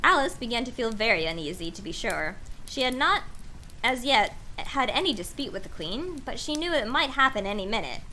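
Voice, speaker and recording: A woman's voice: on the high side, sing-song, a little fast, pushed and bright, a little hiss or hum, poor audio. A woman reading a storybook aloud on a livestream, dropping in casual, slangy, self-deprecating asides. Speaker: host reading a storybook to a live audience.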